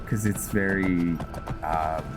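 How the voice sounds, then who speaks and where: A man's voice: low voice, steady tone, takes it slowly, music in the background. A man giving casual, rambling game commentary, thinking aloud and often searching for words as he narrates a roleplay playthrough. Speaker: gaming video host narrating a roleplay playthrough.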